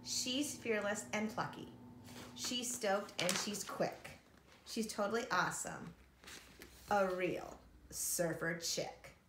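Woman, middle-aged, teacher reading a storybook to kids, expressive, measured pace, faint background noise. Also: mid-range voice. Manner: expressive, addressing children with questions. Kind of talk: read-aloud picture book narration for young children. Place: living room at home, indoors. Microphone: camera or phone a short distance away. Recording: roomy home recording with faint noise.

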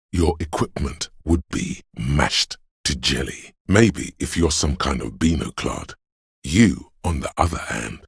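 A man voicing a brooding, grandiose inner voice of the body in a video game, sardonic and ominous, with a husky rasp. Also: fairly bright tone, no room sound, studio quality, heavily acted. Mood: sardonic, grim. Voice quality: very deep, gravelly, husky rasp. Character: gravelly inner voice of a man's bodily endurance, brooding, grandiose.

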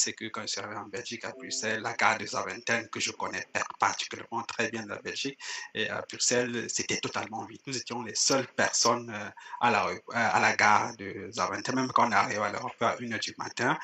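A young man speaks through a headset on a video call, answering interview questions. His voice is low, husky and bright-edged, with a flat, halting delivery. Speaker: guest entrepreneur. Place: indoor room, joining by video call.

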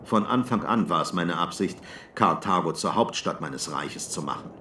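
A man voicing a shrewd, commanding old barbarian king in an audiobook, calm and controlled. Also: low-pitched, moderate pace, reverberant, slight background noise. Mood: calm, guarded. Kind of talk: audiobook character acting.

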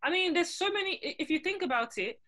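A woman, founder of a language school, talking emphatically in a casual video-call interview from a room at home. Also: very high-pitched. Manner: emphatic, animated, quick to laugh. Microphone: laptop webcam on a video call.

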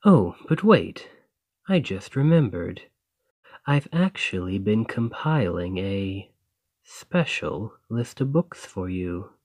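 A man acts out a lovestruck dragon who works as a librarian, talking slowly and softly to his beloved. He is earnest, gentle and a little shy, in an intimate romantic audio roleplay with a very dry, close sound. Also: on the low side, sing-song, dry recording, full character voice. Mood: chatty, fond. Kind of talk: romantic ASMR roleplay, speaking to a listener.

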